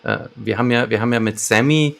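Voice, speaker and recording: A man's voice: medium pitch, fast talker, creaky. A man co-hosting a podcast, presenting and discussing an audio drama episode. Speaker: podcast co-host. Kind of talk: scripted episode summary and discussion.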